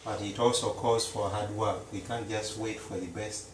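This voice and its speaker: A man, a Luganda speaker, chatting casually to viewers as he cooks in a home kitchen, slow and repetitive. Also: lowish voice, flat delivery, roomy sound, recorded from a distance, noisy background. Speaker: cooking vlogger. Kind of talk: casual live-stream chat.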